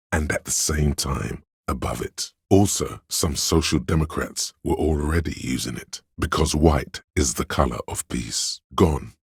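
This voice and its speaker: A man voicing a game character, the pedantic, erudite inner voice of a detective's knowledge, speaking in a measured, very deep, gravelly, husky voice. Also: dry recording, studio quality, heavily acted. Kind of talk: scripted game narration.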